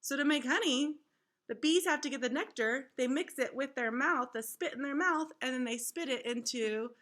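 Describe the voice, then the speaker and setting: Casting: female teacher for a children's read-aloud, high-pitched, expressive and clearly instructive. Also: moderate pace.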